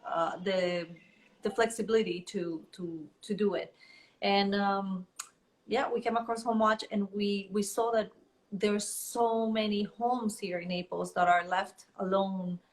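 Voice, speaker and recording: A woman from Colombia, a guest business owner, talking earnestly in a casual interview with fillers and pauses. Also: mid-range voice, poor audio.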